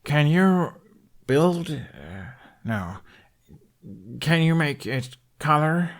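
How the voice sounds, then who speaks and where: A man voicing a polite, hesitant young foreign traveler in an audiobook, speaking in halting, broken phrases. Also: a little high, very animated, voice pushed up, full character voice. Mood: halting, questioning. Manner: slow halting delivery with simple broken phrasing. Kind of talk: audiobook character voice.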